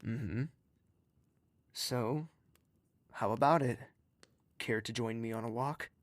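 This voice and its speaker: Actor as a gentle courtly man, dry sound. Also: medium pitch, takes it slowly, no echo, close to an everyday voice. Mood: courtly, coaxing. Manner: soft, earnest, reassuring, formal. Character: gentle, courtly, protective confidant with a wounded past. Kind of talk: earnest audio drama acting.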